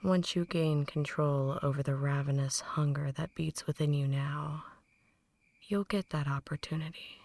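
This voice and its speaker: A woman performs an ancient female vampire in an intimate ASMR roleplay. Her voice is low, soft and breathy, slipping often into whispers. She takes her time over each phrase, condescending and quietly menacing, like a possessive mentor to a newborn. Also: steady tone, no room sound. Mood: cold, instructive. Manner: deliberate, condescending, softly menacing. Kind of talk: ASMR roleplay, slow intimate dramatic monologue.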